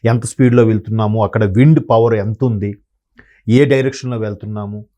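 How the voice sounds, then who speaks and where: Hesitant man with a mellow voice. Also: on the low side, steady tone. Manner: hesitant, many fillers and word-searching pauses. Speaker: analyst guest. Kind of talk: conversational commentary.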